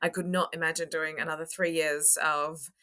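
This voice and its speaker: Deep-voiced, bright Australian woman being interviewed. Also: little pitch movement, moderate pace. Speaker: podcast guest, construction coach. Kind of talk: interview talk.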